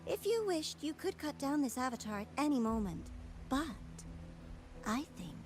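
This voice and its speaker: Game role: teasing villainess, high and wildly animated, theatrical, prominent music underneath. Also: female voice, normal speed. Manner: playful, taunting, theatrical. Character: sly, theatrical female villain, mockingly courteous and teasing. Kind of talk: game voice acting.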